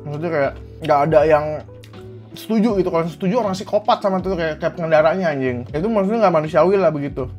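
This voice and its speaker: A man's voice: fairly high pitch, fast talker, a little mellow, muffled recording, faint music behind. A young man from Indonesia, ranting angrily to camera as a vlogger, blunt and swearing often. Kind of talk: heated commentary to camera.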